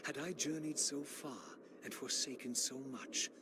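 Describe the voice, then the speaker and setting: A man voicing a brooding, embittered undead avenger in a video game, speaking in solemn, formal, measured phrases. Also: a little high, steady tone, very noisy, lightly acted. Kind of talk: dramatic video game voice acting. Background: music.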